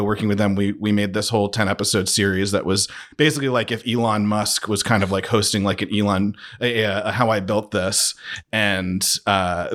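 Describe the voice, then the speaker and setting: Low-voiced, informal, enthusiastic man. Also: fairly bright tone. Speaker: interview guest, scripted audio writer. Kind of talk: casual chat.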